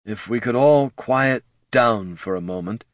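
A man voicing a troubled skeletal undead commander who speaks haltingly, in a fantasy audiobook. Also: medium pitch, no room sound, studio quality, natural voice. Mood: strained. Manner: halting, stammering. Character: troubled skeletal male undead Knight Commander, faltering leader.

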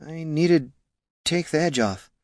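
A man's voice: mid-range voice, very animated, unhurried, no echo, studio quality, voice pushed up. A man voicing a drunken female elf ranger for an audiobook, slurred, rambling and woozily insistent. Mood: groggy, slurring.

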